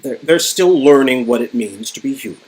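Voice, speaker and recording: A man's voice: mid-range voice, expressive, moderate pace, a little mellow, slightly rough, poor audio. A man playing a grandiose, smug, absent-minded male inventor villain with a theatrical, stammering delivery in a dramatic reading of game dialogue. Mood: paternal. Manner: theatrical, stammering, self-important.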